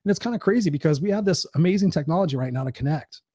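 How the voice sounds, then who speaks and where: A man with a hoarse voice, hosting a live-stream show as a life coach, talking in an energetic, profane, rambling way. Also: fairly high pitch, very fast, no echo, clean studio sound. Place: home.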